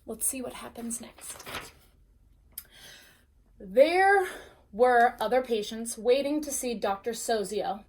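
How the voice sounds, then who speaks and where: A woman with a fairly high voice reads a children's storybook aloud. She is an expressive teacher who speaks directly to young listeners and asks them questions as she goes. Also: takes it slowly, pauses often, slight background noise, poor audio. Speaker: teacher reading a bedtime story to first graders.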